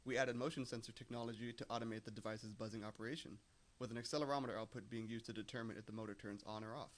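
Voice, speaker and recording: A man's voice: mid-range voice, steady tone, racing. A young man, an engineering student, reading a scripted technical presentation. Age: young adult.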